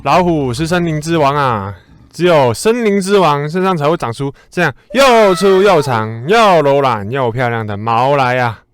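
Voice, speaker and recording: A man's voice: fairly high pitch, animated, clean tone, close to an everyday voice. A man playing a boastful, self-important little ant in a children's radio drama, declaiming pompously. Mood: awed, delighted. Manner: pompous, slow, declaiming announcements.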